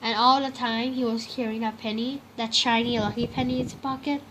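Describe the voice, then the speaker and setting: Female storybook reader, higher-pitched voice, children's narration, some noise behind the voice. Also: a little fast, rough recording. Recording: clear steady background noise.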